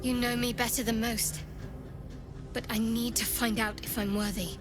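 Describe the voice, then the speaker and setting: A woman's voice: a little high, flat delivery, normal speed, over music, natural voice. An actress playing a guarded, devout young female cleric in a video game, solemn and determined. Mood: reverent, determined. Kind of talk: dramatic video game acting.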